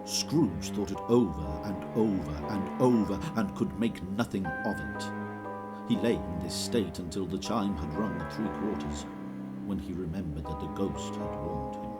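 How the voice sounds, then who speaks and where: A man narrating a classic story in a measured, expressive reading. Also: deep voice, over loud music.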